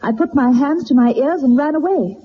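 A woman plays a distressed young governess in a detective radio play. Her voice is fairly high, her manner earnest, formal and hesitant. The sound is dull and noisy. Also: fairly quick, natural voice. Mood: haunted, distressed.

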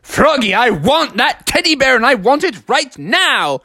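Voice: medium pitch, big pitch swings, moderate pace, no echo, studio quality, pitched above the performer's own voice. A man voicing a demanding young female friend of a frog in a children's story.

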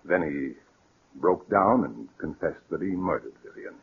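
An old, narrow-band radio recording carries a guilty man hiding behind a worried front. His voice is very husky and swings widely in pitch. He hesitates and stammers through his lines in melodramatic 1940s style. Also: animated, measured pace, heavily acted. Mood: anxious, feigned worry. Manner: hesitant, stammering, repeats words. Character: nervous scheming murderer posing as worried brother. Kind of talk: 1940s radio drama acting. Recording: muffled, scratchy 1940s radio broadcast.

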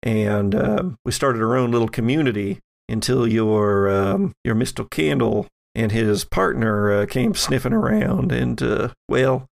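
A man's voice: medium pitch, expressive, creaky. A man voicing a polite, folksy doppelganger in a tabletop game, rambling and hesitant as he goes. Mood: hesitant, explaining. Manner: halting, rambling, folksy, apologetic. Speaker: game master.

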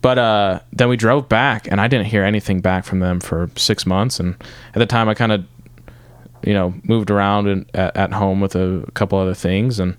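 A man's voice: on the low side, fairly even intonation, normal speed, clean tone, no echo. A young man from Michigan, a guest musician, telling stories in a casual interview and rambling through restarts and fillers. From Michigan, north of Detroit.

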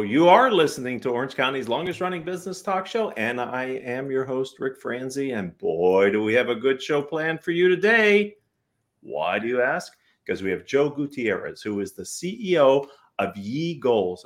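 A man's voice: medium pitch, big pitch swings, moderate pace, a bit bright. A man hosting a business interview show in an upbeat, conversational way. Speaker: talk show host.

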